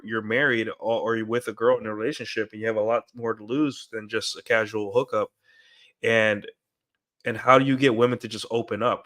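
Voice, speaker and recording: A man's voice: medium pitch, a bit bright. A man with a stuffed-up nose from a cold, talking in a rambling, casual livestream monologue and often checking in with "right?". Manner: rambling, keeps asking "right?". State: congested from a cold. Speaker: streamer. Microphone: desk mic through an audio interface.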